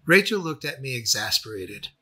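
A man reading a scripted first-person memoir as its narrator, with a husky voice. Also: mid-range voice, big pitch swings, normal speed, pushed and bright. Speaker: author and narrator.

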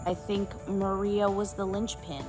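A woman's voice: mid-range voice, flat delivery, measured pace. A woman born in Brazil giving a reflective, heartfelt testimony in a recorded video message. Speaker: talk show staff member. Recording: video message with music underneath.

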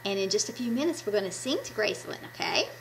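A woman's voice: mid-range voice, expressive, quick pace, fairly bright tone, a little hiss or hum, rough recording. A woman in her fifties giving an enthusiastic devotional talk to children, recorded on a webcam at home. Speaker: children's ministry puppet presenter. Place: small room at home. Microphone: webcam at arm's length.

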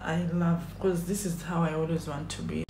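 A woman's voice: deep voice, normal speed, vocal fry, slight background noise. A young Kenyan woman with a Kenyan accent, chatting casually to her phone camera in a small room, rambling with many fillers. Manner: chatty, rambling, lots of fillers and animated hand gestures. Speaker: YouTuber. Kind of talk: casual vlog chat to camera. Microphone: phone at arm's length.

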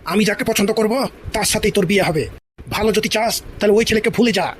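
Casting: domineering old cartoon father, extremely high voice, quick, indignant scolding. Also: fairly bright tone, slight background noise. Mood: stern, scolding. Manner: scolding, indignant, stuttering repeats. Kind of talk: exaggerated comedy cartoon dialogue.

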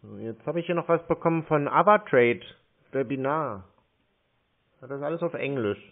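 A man's voice: medium pitch, lively intonation. Hesitant man stalling on a tinny phone line. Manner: slow, hesitant, stalling with hums and fillers. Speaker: scam-baiter posing as a call target. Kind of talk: drawn-out phone call.

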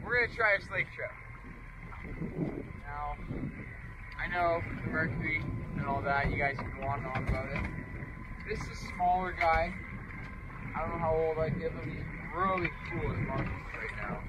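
Higher-voiced young man, very noisy boat. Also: normal speed, long pauses. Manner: slangy, repeats phrases. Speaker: fishing vlog host. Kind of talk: casual excited fishing chat to viewers. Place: small open motorboat on open water. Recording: loud outdoor boat and water noise.

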